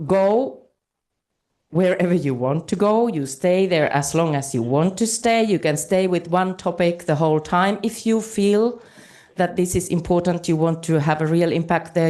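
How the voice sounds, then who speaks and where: Woman conference moderator, deliberate pace, loud. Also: lowish voice. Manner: loud, addresses audience directly. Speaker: congress moderator. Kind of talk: live plenary moderation. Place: large conference hall.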